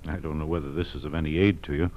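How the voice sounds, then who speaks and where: An actor playing an earnest kidnap victim in a 1940s radio crime drama, speaking haltingly and carefully. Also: male voice, rumbling low, fairly even intonation. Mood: hesitant, earnest. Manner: halting, careful, eager to help. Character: earnest male kidnap victim recalling his captivity. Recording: muffled vintage radio broadcast.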